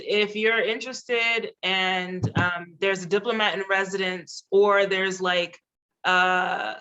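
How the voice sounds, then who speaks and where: A man's voice: high voice, sharp tone. A man whose first language is Haitian Creole, a diplomat giving earnest, chatty advice on a panel, with frequent restarts. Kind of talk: casual advice on a webinar panel.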